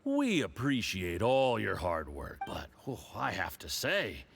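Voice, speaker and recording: An actor voicing a mocking, pushy drinker and conspirator in a video game, smug and theatrical. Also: male voice, on the low side, huge pitch swings, a little slow, gravelly, a bit bright, slight background noise, heavily acted. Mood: falsely friendly, admiring. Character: hard-drinking male conspirator, mocking, pushy, falsely friendly.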